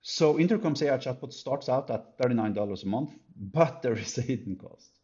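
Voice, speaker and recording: A man presenting an unscripted software demo in an emphatic, halting way, thinking aloud as he goes. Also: medium pitch, lively intonation, highs cut off. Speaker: company presenter comparing products. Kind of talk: unscripted screen-recorded product demo commentary.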